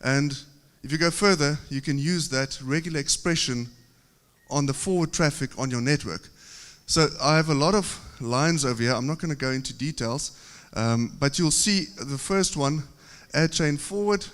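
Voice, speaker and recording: A man from South Africa with a South African accent, giving a technical conference presentation at a podium with steady explanations and occasional filler pauses. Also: on the high side. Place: large conference hall. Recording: reverberant conference hall.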